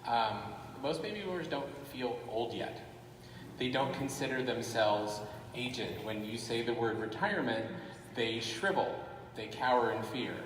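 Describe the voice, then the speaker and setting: Brief: male conference presenter, brisk and casual, booming hall reverb, distant mic. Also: lively intonation, fairly bright tone, a little hiss or hum. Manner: brisk, loose with fillers. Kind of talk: conference talk. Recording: echoey hall from far off.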